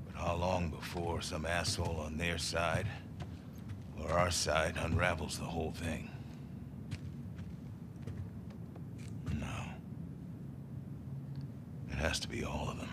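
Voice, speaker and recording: An actor playing a stern middle-aged militia commander in a game, speaking in a measured, terse, authoritative way. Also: male voice, low voice, background noise. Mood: grave, weary. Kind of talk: naturalistic game cutscene acting.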